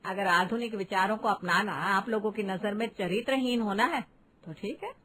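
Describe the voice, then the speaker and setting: A woman's voice: medium pitch, normal speed, a bit bright, a little hiss or hum, natural voice. A woman voicing a progressive mother-in-law in a cartoon drama, lecturing others with defiant reproach. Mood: defiant, reproachful. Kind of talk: animated family drama voice acting in Hindi.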